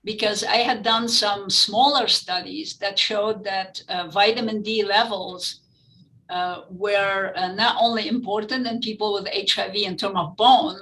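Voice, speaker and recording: A woman's voice: mid-range voice, creaky, bright, forceful tone, rough recording. A middle-aged woman with a French-influenced Lebanese accent, giving earnest, explanatory answers in an interview. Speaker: interview guest, physician-researcher.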